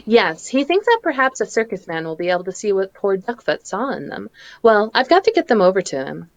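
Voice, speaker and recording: A woman's voice: medium pitch, very animated, brisk, slight background noise. A woman playing a nervous, polite, naive photographer with a hesitant stammer, in old-time radio drama style. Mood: flustered, polite. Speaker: amateur voice actor in live table read.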